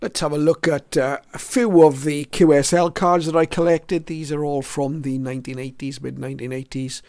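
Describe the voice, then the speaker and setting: Smooth, even-toned mature man narrating. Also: a little high, moderate pace, no echo, clean studio sound. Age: middle-aged or older. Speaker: shortwave radio hobbyist presenting his collection. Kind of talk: casual show-and-tell narration.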